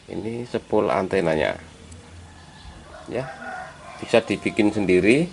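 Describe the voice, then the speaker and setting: A man gives an informal hands-on tutorial step by step, addressing viewers as friends and halting as he works. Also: lowish voice, animated. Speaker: electronics repair hobbyist presenting a tutorial. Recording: clearly noisy amateur recording.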